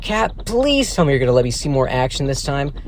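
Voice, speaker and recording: An actor playing an eager, boyish young soldier sidekick in an audio drama. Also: male voice, medium pitch, very animated, a little fast, a little hiss or hum.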